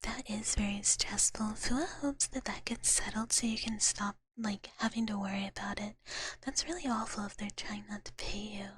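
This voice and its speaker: A woman speaks in a hushed, breathy whisper. She is hosting a live ASMR stream and chats casually and softly with her viewers. Her delivery is informal and conversational. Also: medium pitch, normal speed. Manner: soft, casual, refers to herself in third person. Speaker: livestreamer, ASMR host.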